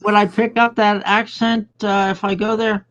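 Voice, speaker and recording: A man's voice: high-pitched, level tone, moderate pace, fairly bright tone. An older man chatting casually on an online group call, speaking hesitantly and repeating himself. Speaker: chat participant. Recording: dull online call audio.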